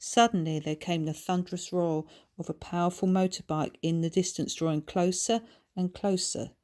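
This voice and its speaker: Lowish-voiced woman reading a storybook. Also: steady tone, normal speed, a little mellow. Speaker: children's author reading own book. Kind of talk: scripted storybook narration.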